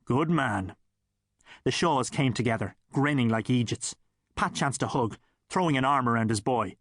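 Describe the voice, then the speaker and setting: A man's voice: medium pitch, normal speed, a bit bright, dry recording, studio quality. A man with an Irish accent reading a comic story as an audiobook narrator.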